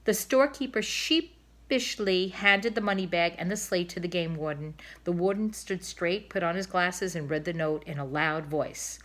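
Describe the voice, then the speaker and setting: A woman reading a children's storybook aloud in an expressive narrating style. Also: lowish voice, normal speed. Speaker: storybook reader.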